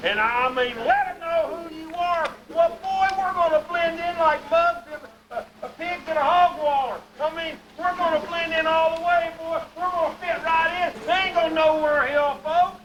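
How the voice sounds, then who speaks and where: A man's voice: very high voice, steady tone, moderate pace, hardly any pauses, roomy sound, dull audio, voice pushed up. A man playing a hollering backwoods Pa. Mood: boastful. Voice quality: loud hollering drawl. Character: boastful rustic frontier father, middle-aged. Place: outdoor western town street show. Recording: noisy outdoor live show.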